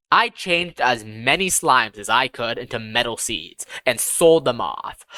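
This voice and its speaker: A woman's voice: deep voice, sharp tone, no room sound. A woman reading a scripted first-person fantasy audiobook as its narrator.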